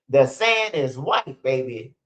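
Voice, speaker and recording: A man's voice: mid-range voice, very animated, slow, fairly bright tone. A man, hosting a livestream and telling street stories in a blunt, profane, casual way, dropping "bro" and "man" often. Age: 40s. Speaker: host of a street news channel.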